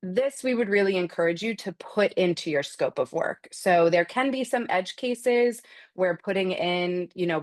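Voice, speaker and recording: A woman hosting a live webinar as a product trainer, explaining a software feature step by step in a conversational way and addressing attendees directly. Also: on the low side. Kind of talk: live screen-share walkthrough, instructional.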